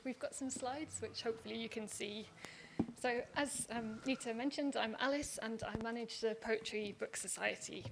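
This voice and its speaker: Higher-pitched woman presenting at a panel. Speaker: poetry organisation manager presenting. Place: conference hall.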